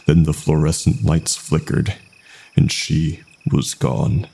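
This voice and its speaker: A man reading a scripted first-person horror story as narrator, in a hushed manner with dramatic pauses. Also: little pitch movement. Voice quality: very deep, gravelly, breathy.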